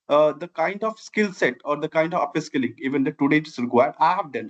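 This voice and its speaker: A man's voice: a little high, highs cut off. A man in his forties from Bihar in India, with a Bihari Indian accent and Maithili as his first language, giving long, earnest, winding interview answers. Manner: earnest, long winding sentences with repeated "I have". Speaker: guest, civil engineer and founder.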